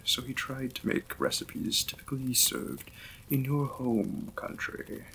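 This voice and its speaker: A courteous vampire lord and gentle new husband from a Victorian romance audio drama, played by a man. He speaks slowly and formally, with a crisp, bright edge and a creaky fry in his voice. His manner is polite and reserved, and there is clear noise behind the recording. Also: medium pitch, full character voice, poor audio. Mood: gentle, hospitable. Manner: formal, polite, unhurried phrasing.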